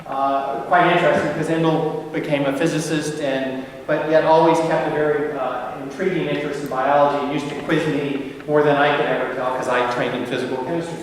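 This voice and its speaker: A man's voice: on the high side, steady tone, a little fast, hardly any pauses, a little hiss or hum. An older man giving a friendly, rambling academic lecture with many fillers and restarts. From United States. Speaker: guest lecturer. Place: large lecture hall. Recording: echoey hall from far off.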